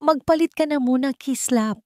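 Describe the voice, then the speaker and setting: A woman's voice: high-pitched, moderate pace, dark tone, no room sound, clean studio sound, pitched above the performer's own voice, close to an everyday voice. A woman voicing a cold, curt, irritated husband in a dramatic story. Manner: curt, dismissive.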